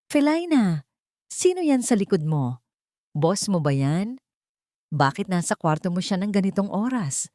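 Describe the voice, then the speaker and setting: A woman voicing a gentle, tired, trusting husband in an audio drama story. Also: medium pitch, sing-song, a little slow, long pauses, no room sound, studio quality. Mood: puzzled, uneasy. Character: tired, trusting husband, puzzled but gentle jobless father.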